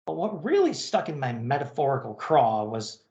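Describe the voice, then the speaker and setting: A man's voice: mid-range voice, very animated, moderate pace, darkish tone. A man hosting a podcast, chatting casually and reading out listener mail, recorded with a roomy echo. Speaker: podcast host. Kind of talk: casual chat and reading listener email aloud. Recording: roomy, echoing sound.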